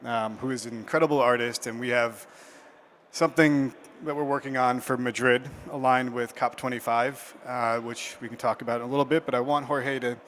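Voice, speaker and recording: A man's voice: medium pitch, fairly even intonation, brisk, a bit bright, a little hiss or hum. A man moderating a conference panel, speaking in an easygoing, loosely phrased way.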